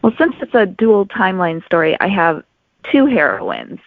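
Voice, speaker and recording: A woman's voice: medium pitch, very animated, moderate pace, no echo. A woman author giving explanatory answers as an interview guest. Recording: narrow phone-like sound, very dry.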